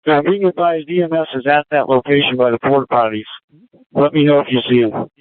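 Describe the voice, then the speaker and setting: A man's voice: a little high, steady tone, fairly quick, no room sound. A man speaking in terse operational style as a police command operator over a narrowband two-way radio.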